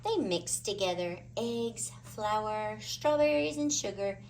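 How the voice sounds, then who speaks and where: Animated, unhurried woman reading a storybook. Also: medium pitch, a little hiss or hum. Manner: expressive, dramatic emphasis. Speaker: children's storybook reader. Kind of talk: animated read-aloud story narration.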